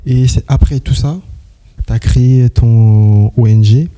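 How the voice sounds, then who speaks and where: A man's voice: mid-range voice, fairly even intonation, takes it slowly, mellow. A man interviewing a guest in a casual, encouraging way, often affirming her with short replies. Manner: encouraging, frequent backchannel affirmations.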